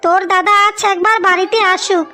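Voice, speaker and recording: A woman's voice: very high-pitched, fairly even intonation, fairly quick, bright, forceful tone. A woman voicing a scornful, bullying married village woman in a cartoon, scolding and sarcastic. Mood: scornful, threatening. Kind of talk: dramatic cartoon voice acting.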